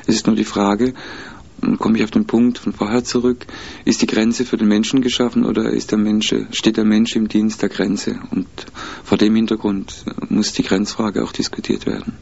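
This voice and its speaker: A low, gravelly man with a husky edge to his voice, answering interview questions in a measured, hesitant way. He speaks in a flat, even tone and pauses often to think. The recording is dull and lo-fi. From mixed German, Spanish, Italian and Peruvian roots. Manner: measured, hesitant, many fillers.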